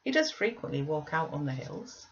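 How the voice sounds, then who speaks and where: A woman's voice: low voice, sing-song, normal speed, creaky, muffled recording, a little hiss or hum, full character voice. A woman playing a reassuring woman servant who coaxes a child, in an audiobook reading. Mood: patient, encouraging.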